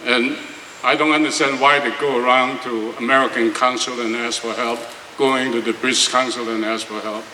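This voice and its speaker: A man's voice: medium pitch, fairly even intonation, normal speed, sharp tone, a little hiss or hum. An older man from Hong Kong, a panelist giving a blunt, opinionated answer at a panel discussion. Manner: blunt, opinionated, plain-spoken. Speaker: panelist, former student striker. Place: auditorium panel event. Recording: roomy hall, mic at a distance.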